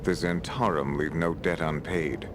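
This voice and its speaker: An actor playing a calculating, courteous agent of shadowy patrons, composed and formal in a fantasy game. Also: male voice, a bass voice, steady tone, a little slow, noisy background. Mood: composed scheming. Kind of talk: theatrical fantasy game voice acting.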